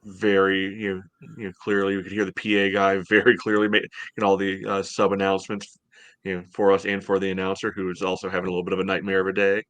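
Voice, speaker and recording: An American man in his thirties hosting a casual sports podcast over a video call, speaking haltingly with lots of "you know". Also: deep voice, monotone, a bit bright. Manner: hesitant, halting delivery, heavy use of "you know". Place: home room, indoors, on a video call. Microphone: headset on video call.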